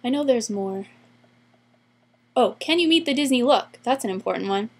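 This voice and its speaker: A woman's voice: fairly high pitch, expressive, normal speed, a little hiss or hum. A young adult woman giving casual, upbeat advice in a chatty vlog style, stretching words for emphasis. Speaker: vlogger giving interview advice.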